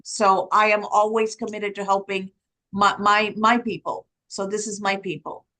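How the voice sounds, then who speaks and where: A woman's voice: medium pitch, level tone, normal speed, sharp tone, low-quality recording. A woman from Sri Lanka whose first language is Tamil, speaking on a conference panel and building her points in long lists.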